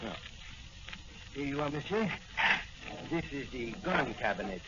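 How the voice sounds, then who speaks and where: An old, hissy radio recording with narrow sound carries a nervous French novelist. His French accent is thick with courtesy and hesitation. His pitch swings widely in 1940s radio-play style. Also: sing-song, unhurried. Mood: nervous, polite. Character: nervous, polite French novelist and gun collector. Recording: noisy, band-limited old-time radio recording.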